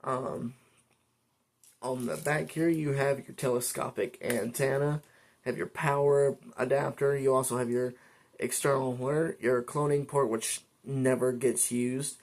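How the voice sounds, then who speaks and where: Male vlogger, creaky mid-pitched voice, informal and halting, talking through features one step at a time. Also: fairly even intonation. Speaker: vlogger reviewing a weather radio. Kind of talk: casual product demonstration, unscripted. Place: indoors at a desk.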